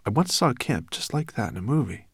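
A man's voice: mid-range voice, big pitch swings, fast, vocal fry, fairly bright tone, slightly rough, dry recording, clean studio sound, voice pushed up, exaggerated voice. A man voicing a friendly, alert human boy in a storybook, casual and matter-of-fact. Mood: explaining.

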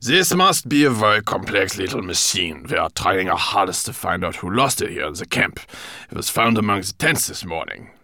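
Pompous portly old professor played by a man, haughty, condescending, gravelly tone, swooping delivery. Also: deep voice, very animated, moderate pace, pushed and bright, no echo, clean studio sound, exaggerated voice. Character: pompous, portly older male professor, scoffing. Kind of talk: audiobook character voice.

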